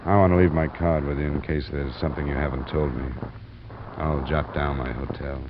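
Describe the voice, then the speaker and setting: An actor playing a world-weary, dogged insurance investigator in a 1950s American radio drama, speaking in a hard-boiled, matter-of-fact way. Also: male voice, extremely low pitch, steady tone, brisk. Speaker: lead actor and narrator of a detective radio drama. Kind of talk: 1950s American radio detective acting, clipped narration. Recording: noisy, muffled vintage radio recording.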